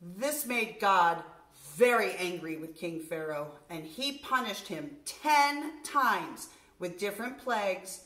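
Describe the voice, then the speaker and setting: A middle-aged woman, a nursery school teacher, reading a story aloud to young children in an expressive, deliberate way. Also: mid-range voice. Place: furnished room at home, indoors. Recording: roomy indoor echo.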